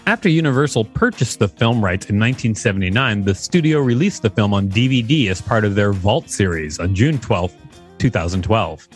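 Animated playful male podcast co-host. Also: lowish voice, brisk, faint music behind. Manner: playful, laughs often, mimics people in comic asides. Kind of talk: reading aloud and casual commentary.

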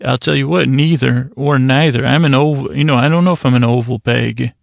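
A man with a gravelly, creaky voice hosts a bedtime podcast, recorded close and very dry. He wanders through his monologue in a stop-and-start way, stretching out words to soothe listeners toward sleep. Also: mid-range voice, no room sound. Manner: meandering, stop-and-start, drawn-out soothing delivery. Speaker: podcast host.

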